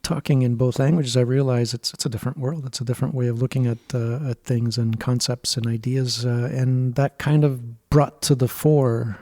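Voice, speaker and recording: A man hosting a podcast, a native Turkish speaker, who talks in a reflective, measured way. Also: medium pitch, steady tone, warm tone, no room sound, clean studio sound. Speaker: podcast host. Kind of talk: casual conversation.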